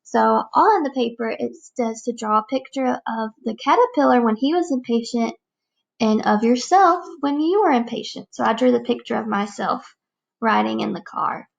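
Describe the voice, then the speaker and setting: Female elementary teacher, fairly high voice with noticeable vocal fry, explaining simply to young kids. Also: moderate pace, dull audio. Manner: simple, explanatory phrasing aimed at children. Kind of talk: read-aloud lesson for young children.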